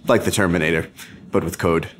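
A man's voice: low voice, fairly even intonation, brisk, close to an everyday voice. An actor playing an arrogant young male coding champion who boasts and taunts his rival. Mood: smug. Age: young adult. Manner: smug, boastful, theatrical.

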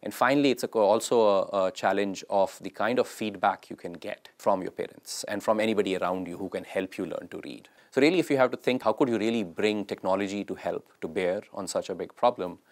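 A man's voice: lowish voice, fairly quick, few pauses. A man in his thirties giving an explanatory interview as a member of a product team.

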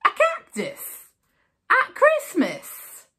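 A woman voicing a fretful, squeaky male mouse who exclaims in drawn-out, indignant words for a children's storybook. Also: wildly swooping pitch, bright, forceful tone, full character voice. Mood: startled, doubtful.

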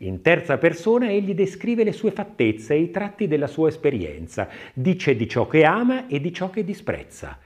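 A man reading a scripted literary text as an audiobook narrator, expressive and measured, with deliberate phrasing. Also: on the high side. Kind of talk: scripted audiobook narration of an art-history essay, with recited verse.

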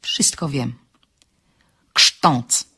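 A bold woman sleuth in a radio play. She speaks briskly and with self-assurance in a husky voice. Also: pushed and bright, exaggerated voice. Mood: excited. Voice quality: low, husky. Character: excitable, bold amateur sleuth woman, composed when bluffing. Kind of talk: radio drama acting.

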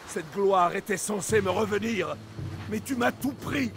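An actor playing a proud, lovesick young soldier in a French video game dub, intense and wounded. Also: male voice, high-pitched, measured pace, background noise. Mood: bitter, desperate. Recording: music behind the voice.

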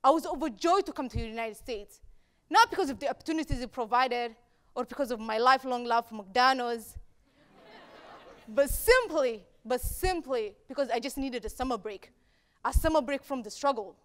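High-voiced Somali woman giving a stage speech. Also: some chatter behind. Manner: rhetorical repetition, deliberate pauses. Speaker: speaker at a campus talk event. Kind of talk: prepared public speech. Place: dark stage in an auditorium.

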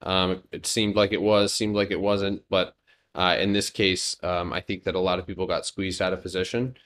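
A man's voice: deep voice, flat delivery, moderate pace, a bit bright. A man in his thirties talking through stock charts live, joking loosely with his chat between points. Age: 30s. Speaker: stock trading streamer. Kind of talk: casual live chart analysis.

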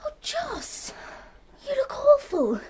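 A woman's voice: extremely high pitch, huge pitch swings, slow pace, background noise, full character voice. A woman playing an observant young English girl who narrates her summer abroad in a radio drama, with an English accent. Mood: earnest, anxious. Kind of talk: radio drama acting and first-person narration.

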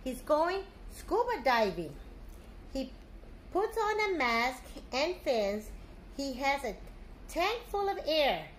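A middle-aged woman, a teacher reading a picture book aloud to young children in an animated, simple way. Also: sits high, takes it slowly, slight background noise. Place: living room at home, indoors.

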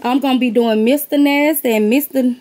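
A woman's voice: high voice, fairly even intonation, brisk, a little mellow. A middle-aged woman chatting casually to viewers as she shows off products she bought, calling them y'all and honey, filmed on a handheld phone indoors. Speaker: vlogger and gift basket maker. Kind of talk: casual haul vlog. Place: warehouse-style room with boxes, indoors. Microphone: handheld phone camera.

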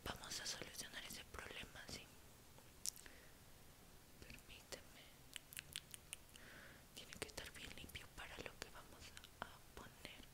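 A young woman in a whispered ASMR roleplay as a dentist, breathy and close to the mic, reassuring and unhurried. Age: young adult. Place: small indoor room.

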